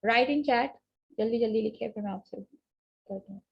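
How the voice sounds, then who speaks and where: A woman teaching an online class, explaining points in a mix of Hindi and English and reading definitions slowly off a slide. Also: a little high, steady tone, gravelly, husky. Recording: dull lo-fi online class audio.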